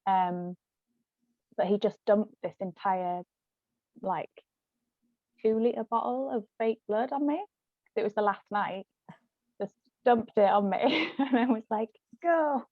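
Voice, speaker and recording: A woman's voice: mid-range voice, takes it slowly, pauses often. Woman chatting casually as an interview guest, tinny narrow phone sound, no echo. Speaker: guest, actress and author. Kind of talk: casual chat, anecdotal. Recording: thin phone-like audio, dry.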